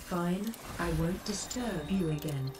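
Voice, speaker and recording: Woman doing polite female spaceship computer, measured game voice, kinda low, music playing under it. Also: close to an everyday voice. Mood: composed, courteous. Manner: formal, measured, instructive. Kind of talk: scripted game voice pack lines. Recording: distant, with music behind.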